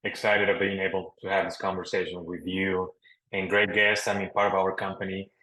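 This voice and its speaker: A man's voice: low-pitched, level tone, a little fast, sharp tone. A middle-aged man from Colombia, a native Spanish speaker with a Colombian accent, telling his story on a webinar panel. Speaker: broadcast professional, panel guest. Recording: roomy, echoey sound.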